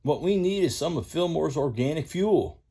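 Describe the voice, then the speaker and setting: A man's voice: a little high, expressive, moderate pace, dark tone, a little hiss or hum, pitched above the performer's own voice. A man voicing a folksy old male tow truck with a twangy country drawl.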